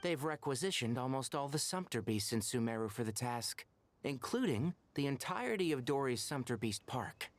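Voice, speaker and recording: An actor playing a composed young forest watcher and mentor in an anime-style video game, articulate and matter-of-fact. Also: male voice, mid-range voice, very animated, moderate pace, close to an everyday voice. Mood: serious, explanatory.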